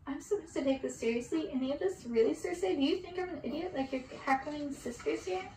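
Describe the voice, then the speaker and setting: A woman's voice: sits high, brisk, warm tone, close to an everyday voice. A woman voicing an arrogant young prince in a storybook reading, haughty and scoffing. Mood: scornful. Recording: distant roomy mic with background hiss.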